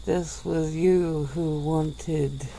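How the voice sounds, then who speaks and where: A woman voicing a centuries-old female vampire who speaks slowly and softly, with breathy little laughs, in an ASMR roleplay. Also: sits low, steady tone, a little hiss or hum, exaggerated voice, poor audio. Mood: teasing, languid. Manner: slow, soft-spoken, with breathy little laughs.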